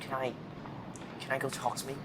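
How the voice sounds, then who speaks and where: An earnest teenage schoolboy, acted by a man, with a middling pitch. He is hesitant and a little downcast, as in a fan audio drama. There is faint noise and rumble on the recording. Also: completely flat delivery, a little fast, sharp tone, natural voice. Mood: nervous, pleading. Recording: noisy home mic with low rumble.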